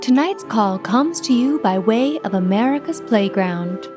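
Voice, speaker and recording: A woman playing a poised, poetic telephone operator who hosts and narrates a radio anthology in a florid, deliberate, theatrical manner. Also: a little high, animated, music in the background. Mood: dreamy, poetic. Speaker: host and narrator.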